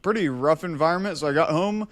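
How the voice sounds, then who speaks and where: A man's voice: on the high side, fast, dry recording. Mock-serious man bantering in a dry room. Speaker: podcast co-host. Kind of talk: casual comedic podcast banter.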